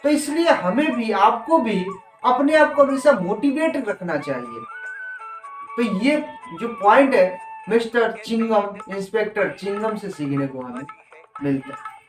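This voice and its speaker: A man's voice: sits high, lively intonation, a little slow. A man with an Indian accent gives an informal motivational talk, emphatically repeating his points as a presenter. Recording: roomy, distant mic with music. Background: music.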